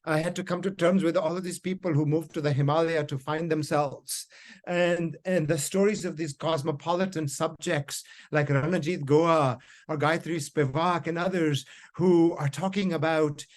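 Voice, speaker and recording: A middle-aged man from India, whose first language is Hindi, giving reflective academic remarks on a panel with many fillers. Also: on the high side. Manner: reflective, storytelling, frequent fillers. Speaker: panel discussant.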